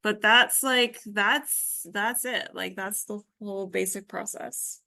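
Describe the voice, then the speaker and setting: Slow-talking woman with a creaky voice. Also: medium pitch, fairly even intonation, pushed and bright. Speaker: workshop instructor. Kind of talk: live software tutorial, thinking aloud.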